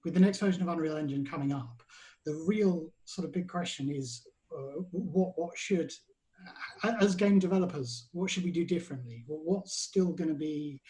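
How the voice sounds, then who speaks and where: A man's voice: a little high, moderate pace, dark tone. A man hosting a podcast, asking questions in a rambling way and stumbling over his phrasing. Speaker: podcast host.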